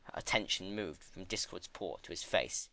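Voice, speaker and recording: A man reading a story as a dramatic narrator, solemn and measured in his storytelling. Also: deep voice, animated, pushed and bright, dry recording. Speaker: narrator, fan-fiction reader.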